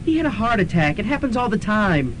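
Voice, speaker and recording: A man's voice: high voice, very animated, brisk, a little hiss or hum, rough recording. A man playing a flippant young character in a student radio drama.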